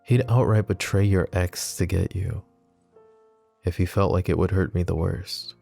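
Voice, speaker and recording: A very deep, creaky-voiced mafia boss played by a man murmurs to his listener in an intimate audio roleplay. His tone is dark and mellow, possessive yet teasing, and he takes his time between phrases. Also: fairly even intonation, measured pace, soft background music, exaggerated voice. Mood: grave, protective.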